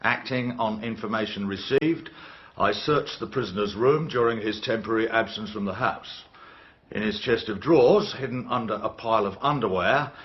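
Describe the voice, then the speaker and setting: An actor playing an imperturbable police inspector giving clipped, deadpan evidence in a radio drama. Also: male voice, on the low side, moderate pace, close to an everyday voice. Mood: official. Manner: deadpan, clipped official testimony. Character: stolid, imperturbable male police inspector in court. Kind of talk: radio courtroom drama acting. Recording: dull radio drama recording.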